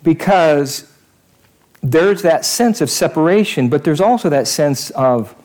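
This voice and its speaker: A man's voice: a little high, expressive, a little slow, darkish tone. A male pastor giving a conversational sermon in church, repeating phrases for emphasis.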